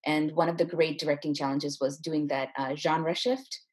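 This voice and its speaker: A woman with a husky voice talking casually and enthusiastically as an interview guest, a writer explaining her work. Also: low voice, fairly even intonation, normal speed. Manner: enthusiastic, effusive. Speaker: playwright and screenwriter, interview guest.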